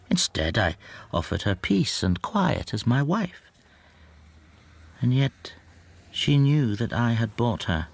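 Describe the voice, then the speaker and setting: A subdued, wounded stamp dealer talks in a soft, brooding murmur. The voice sits a little low, in a radio drama style. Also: male voice, creaky, husky, exaggerated voice. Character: timid foreign stamp dealer, quiet, wounded.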